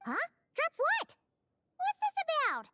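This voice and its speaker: A woman voicing a small, excitable girl fairy companion in a video game, squeaky, childlike and exclamatory. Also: measured pace, no room sound, exaggerated voice. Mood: puzzled.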